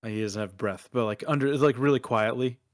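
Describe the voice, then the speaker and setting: A man's voice: mid-range voice, a little fast, no room sound, studio quality. A man playing a tabletop game, casually describing what his character does.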